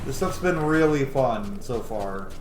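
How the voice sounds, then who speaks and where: A man giving excitable, casual gameplay commentary, blurting exclamations and swearing as he talks to himself and his viewers. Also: medium pitch, unhurried, a little hiss or hum. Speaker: gaming video host. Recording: roomy echo, game music behind. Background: video game music.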